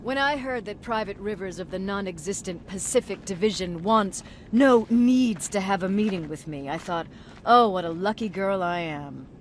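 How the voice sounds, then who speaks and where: A woman's voice: medium pitch, normal speed, slight background noise, close to an everyday voice. A woman playing a stern, contemptuous resistance field commander in a video game, speaking with intimidating sarcasm. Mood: cold sarcasm. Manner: sardonic, intimidating. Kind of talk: video game dramatic acting.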